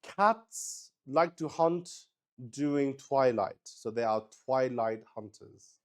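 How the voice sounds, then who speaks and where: Man, casual game chat, slow pace, medium pitch, swears, thinks out loud. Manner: sweary, exclamatory, thinking aloud. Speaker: solo roleplay player and host.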